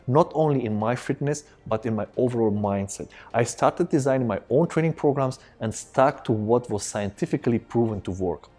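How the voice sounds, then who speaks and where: A man in his thirties with German as his first language speaks straight to camera as a fitness coach, lively and animated with his hands. His voice is mellow and warm, picked up on a lapel mic in a spacious lounge. Soft music plays faintly underneath. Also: mid-range voice. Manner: energetic, gestures a lot with both hands. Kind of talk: direct-to-camera course introduction. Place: large indoor lounge room. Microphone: clip-on lapel mic.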